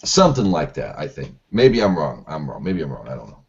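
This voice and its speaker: Male host with a very deep, husky voice, rambling and self-doubting on a lo-fi call. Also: very animated, brisk, a little mellow. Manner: rambling, unsure of himself, many restarts. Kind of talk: casual online group discussion. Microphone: video call. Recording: dull lo-fi online call audio.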